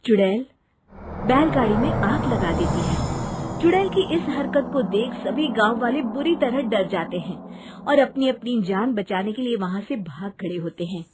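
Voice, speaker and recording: A woman's voice: a little high, lively intonation, unhurried, heavy background noise. A woman narrating a scripted children's cartoon story.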